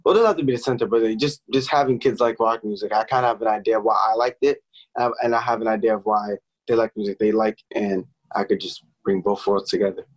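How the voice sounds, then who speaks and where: A nineteen-year-old male musician talking casually in an interview, halting, with many fillers and restarts. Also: fairly low pitch, steady tone, rough recording. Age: young adult. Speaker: musician guest.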